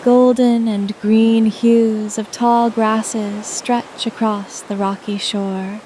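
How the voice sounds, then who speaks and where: A woman narrating a scripted guided sleep meditation in a soothing, gentle manner. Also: on the high side, little pitch movement, takes it slowly, slight background noise, low-quality recording.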